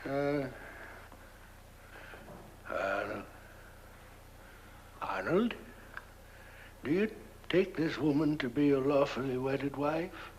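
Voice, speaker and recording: A flustered minister in a horror comedy film. He is slow and halting, stammering with reluctance, over a noisy old soundtrack. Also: medium pitch, expressive, lo-fi sound. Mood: flustered, uneasy. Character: flustered, reluctant minister performing a bizarre wedding.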